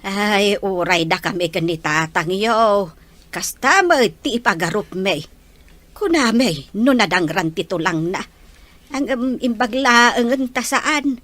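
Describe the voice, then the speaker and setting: A woman's voice: medium pitch, animated, a little slow, fairly bright tone, no room sound. A woman acting an elderly grandmother, caring but scolding, in an audio drama with an Ilocano accent. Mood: caring, explaining.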